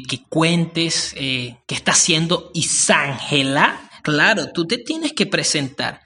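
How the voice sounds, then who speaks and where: A man hosting a casual podcast interview, speaking in a warm, rambling way with frequent hesitations. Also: sits high. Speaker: podcast host.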